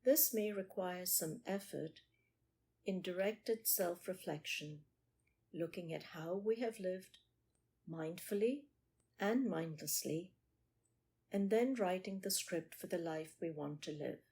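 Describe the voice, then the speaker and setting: Woman, meditation teacher, very unhurried delivery, husky tone, short measured phrases, pauses, instructional. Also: on the low side, warm tone. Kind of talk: guided meditation.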